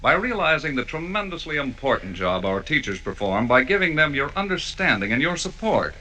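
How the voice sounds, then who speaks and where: A man's voice: on the high side, big pitch swings, a little fast, sharp tone, rough recording. A man announcing on 1940s radio, reading ad copy in a polished, emphatic way on a vintage broadcast recording.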